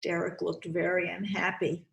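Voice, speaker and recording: A woman hosting a children's storytime, speaking deliberately and instructively as she reads and explains, often repeating phrases for young listeners. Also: lowish voice, flat delivery, vocal fry, fairly bright tone. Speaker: host reading children's books aloud. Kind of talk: storytime read-aloud with commentary to children. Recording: roomy, echoey sound.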